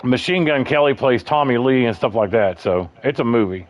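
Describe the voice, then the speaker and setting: Bassy man chatting on a lo-fi stream. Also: lively intonation, moderate pace. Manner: rambling, chatty storyteller, calls people bro. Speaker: livestream host. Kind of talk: casual chat.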